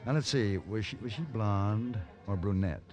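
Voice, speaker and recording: An actor playing a cool, resolute, urbane gunfighter for hire, firm and wry, in vintage radio western style. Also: male voice, lowish voice, moderate pace, a little mellow, natural voice. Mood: relaxed, wry. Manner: firm, self-assured, wry. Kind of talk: 1950s radio western acting. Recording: vintage radio drama recording with music.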